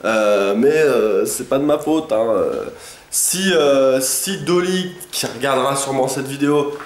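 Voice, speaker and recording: A man's voice: medium pitch, a little slow. A young man with a head cold, sounding congested, chatting casually in a rambling vlog with lots of hesitations and slang. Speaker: vlogger. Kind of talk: casual daily vlog chat. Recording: echoey room.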